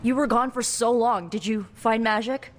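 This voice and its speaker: An actress playing a casual, wry young spellcaster ally in a video game. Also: female voice, fairly high pitch, normal speed, very noisy, natural voice. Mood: concerned.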